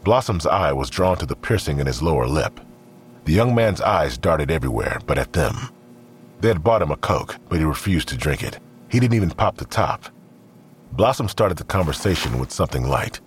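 A man's voice: a bass voice, expressive, moderate pace, a little hiss or hum. A man narrating a scripted audio drama in the role of storyteller.

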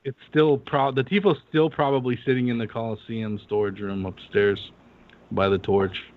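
A man's voice: mid-range voice, steady tone, normal speed. Blunt swearing man, slightly muffled. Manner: blunt, profane storytelling. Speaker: podcast co-host. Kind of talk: casual chat. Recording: slightly dull with faint noise.

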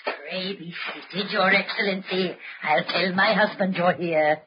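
An actress playing a curt old innkeeper's wife from colonial times in a radio drama, with archaic phrasing. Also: female voice, on the low side, fairly even intonation, a little slow, close to an everyday voice. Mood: deferential. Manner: curt, archaic servant-like speech. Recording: muffled, noisy old radio recording.

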